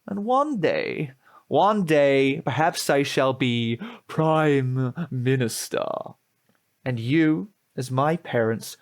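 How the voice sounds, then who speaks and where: An actor playing a smug, menacing, impossibly perfect teenage boy in an audio drama, formal and pompous in manner. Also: medium pitch.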